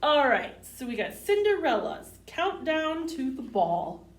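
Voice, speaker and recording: A woman reads a children's story aloud in a high, very animated voice, pausing for little asides to her young listeners. She takes her time over each short sentence. The sound is roomy, as if the microphone is some way off. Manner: simple short sentences, expressive, addresses children with questions. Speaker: library storytime host reading picture books. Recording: roomy, distant mic, faint background noise.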